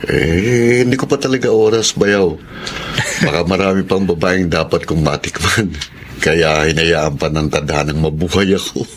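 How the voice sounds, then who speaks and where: A man's voice: low voice, normal speed, slight background noise, lightly acted. A man acting a roguish, unrepentant womanizer in a radio drama, with a cocky, teasing manner.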